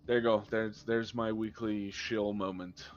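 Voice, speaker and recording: A man's voice: on the low side, little pitch movement, measured pace, highs cut off, faint music behind. A man playing in a tabletop game, chatting with enthusiasm in long runs of talk. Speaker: player. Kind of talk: casual chat.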